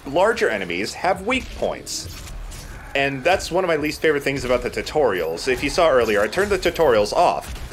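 A man giving casual game commentary as a let's play commentator. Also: medium pitch. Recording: game noise behind voice. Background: game ambience.

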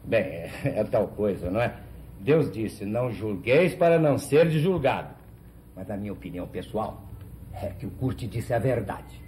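A man's voice: on the low side, big pitch swings, normal speed, mellow. An older Brazilian man playing a cautious, pious, hesitant village priest in a 1970s telenovela. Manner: hesitant, conciliatory. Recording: noisy old 1970s TV soundtrack.

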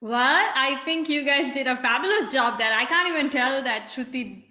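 Young lady presenting online, bright highish voice, chatty, roomy echo. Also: animated, normal speed. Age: young adult. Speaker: co-host of a virtual dance recital. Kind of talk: casual chat, presenting. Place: indoor room, video call. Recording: echoey room on video call.

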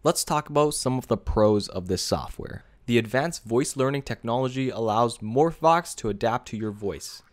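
A man's voice: mid-range voice, expressive, normal speed, hardly any pauses. Man narrating a casual scripted tech review. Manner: casual, addresses viewers directly. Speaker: video creator and presenter. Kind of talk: scripted software review voice-over.

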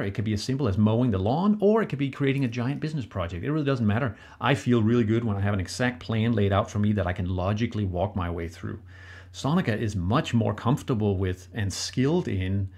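A low, husky male voice with a Danish accent. He is a middle-aged relationship coach speaking to listeners in an earnest, reflective way and giving plain advice. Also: a little mellow. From Denmark. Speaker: podcast co-host, relationship coach. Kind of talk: solo advice talk.

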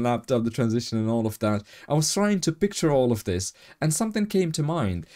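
A man from Morocco hosting a casual podcast interview. His voice is mellow and dark, and he sounds eager and quick to laugh, cheering on his guest. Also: mid-range voice. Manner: enthusiastic, laughs often. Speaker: podcast host.

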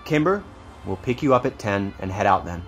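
A man's voice: on the low side, lively intonation, fairly quick, fairly bright tone, soft background music. A man playing a cocky, teasing American teenage boy in a naturalistic audio drama, with sarcastic banter. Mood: taking charge. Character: cocky, teasing, foul-mouthed teenage boy, loyal boyfriend. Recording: clear background ambient noise.

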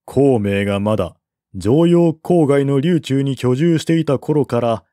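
A man narrating a historical novel as an audiobook, with a measured, expressive literary delivery. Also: medium pitch, no room sound, studio quality.

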